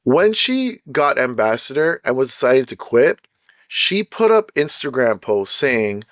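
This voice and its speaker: A man hosting his own channel, ranting bluntly and emphatically, his voice going hoarse with frequent throat-clearing. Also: mid-range voice, sing-song, measured pace, dry recording. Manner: blunt, emphatic, repeats points. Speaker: channel host. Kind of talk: casual ranting commentary.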